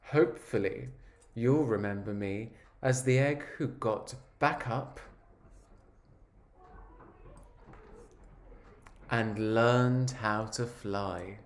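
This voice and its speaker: A man voicing an earnest, wistful egg who tells his own story in a measured, reflective picture-book narration. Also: lowish voice. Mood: resolute.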